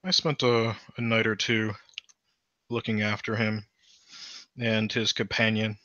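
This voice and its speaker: A man's voice: fairly low pitch, level tone, measured pace, sharp tone, no room sound, highs cut off. A man voicing a shuffling undead bone merchant with a thick Turkish accent who fumbles through his words. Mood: wary.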